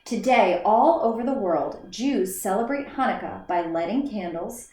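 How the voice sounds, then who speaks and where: A woman's voice: mid-range voice, expressive, measured pace, a little mellow. A woman teacher reading a children's story aloud in a careful read-aloud manner, with the odd slip and restart. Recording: echoey room.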